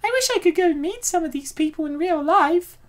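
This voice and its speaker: Woman acting very high-pitched, flustered young woman. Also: expressive, fairly quick. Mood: eager, coy. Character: anxious, eager young woman with secret online life. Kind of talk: comic dub acting.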